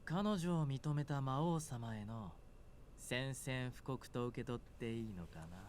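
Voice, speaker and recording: A man's voice: medium pitch, fairly quick, darkish tone, a little hiss or hum, lightly acted. An actor playing a dramatic, intense male character in a visual novel. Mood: cool, composed. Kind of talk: Japanese visual novel voice acting.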